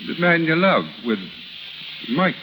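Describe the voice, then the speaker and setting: Proud middle-aged husband, expressive swings. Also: male voice, on the high side. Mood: tender, resigned. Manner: formal, halting under emotion. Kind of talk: 1930s radio drama acting. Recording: noisy, sizzling 1930s radio recording.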